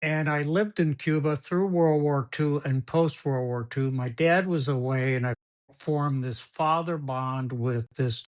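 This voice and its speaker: An older man whose first language is Spanish, telling rambling stories as a podcast guest, often repeating words and laughing as he goes. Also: medium pitch. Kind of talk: storytelling interview.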